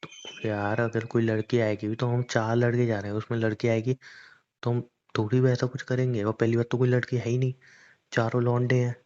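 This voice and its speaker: A man's voice: mid-range voice, level tone, fairly quick, darkish tone, close to an everyday voice. A man playing a stubborn, teasing young boyfriend in a romantic phone-call roleplay, argumentative and coaxing in casual conversation. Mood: exasperated, coaxing. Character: stubborn, teasing young boyfriend defending his boys' trip. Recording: dull lo-fi phone call recording.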